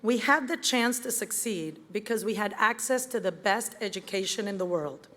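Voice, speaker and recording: A woman, giving a prepared campaign speech in an earnest, measured way. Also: mid-range voice, animated, fairly bright tone. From Venezuela. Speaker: campaign event speaker. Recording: reverberant hall with crowd.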